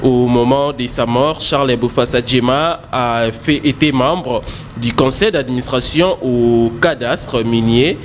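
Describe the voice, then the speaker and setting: A man's voice: mid-range voice, a little slow, slight background noise. A man with a Congolese French accent, co-hosting a morning show and reading news with frequent hesitations. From Congo (DRC). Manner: hesitant, many fillers while reading.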